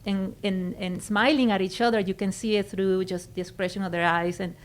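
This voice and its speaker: A middle-aged woman from Venezuela with a Venezuelan Spanish accent, talking as a guest in a conversational interview and pausing to search for her words. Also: mid-range voice, steady tone. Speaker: guest photographer.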